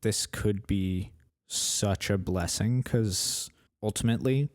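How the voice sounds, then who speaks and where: Man, twenties, very low voice, dry close sound, podcast co-host, earnest and rambling. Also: slow pace, a little mellow, no echo. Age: 20s. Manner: earnest, rambling, with many fillers. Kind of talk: casual chat.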